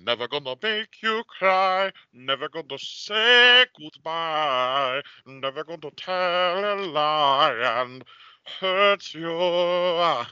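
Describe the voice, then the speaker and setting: A man's voice: fairly high pitch, animated, extremely slow, pushed and bright, clean tone, dry recording, muffled recording. A man singing a pop song in a comic parody style.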